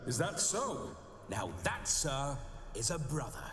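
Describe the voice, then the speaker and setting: A man's voice: mid-range voice, sing-song, takes it slowly, a bit bright, slight background noise. An actor playing the jovial, boastful ghost of a hard-drinking nobleman brawler in a video game. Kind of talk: video game cutscene acting. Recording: game audio with reverb and music.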